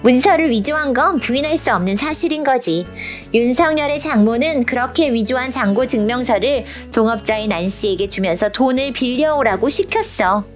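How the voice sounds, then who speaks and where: A woman voicing a patient young storybook princess who explains step by step in casual, scripted speech for an animated explainer. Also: on the high side, normal speed, hardly any pauses, natural voice. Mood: patient explaining. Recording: music bed behind the voice.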